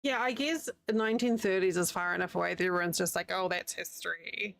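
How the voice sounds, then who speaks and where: A New Zealand woman chats loosely with her stream audience, rambling and laughing as she goes. Her voice has a gravelly edge. The recording is dry and close, with no room sound. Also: on the low side, fairly even intonation, quick pace, fairly bright tone, no echo. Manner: chatty, rambling, laughs often. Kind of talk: casual chat with live chat while crocheting. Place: home, living in the United States.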